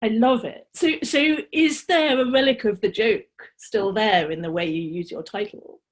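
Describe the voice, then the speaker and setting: A woman's voice: fairly high pitch, moderate pace. A middle-aged woman hosting a literary interview, articulate and engaged, speaking on a video call. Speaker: interviewer, host. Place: book-lined study, indoors. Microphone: computer mic on video call.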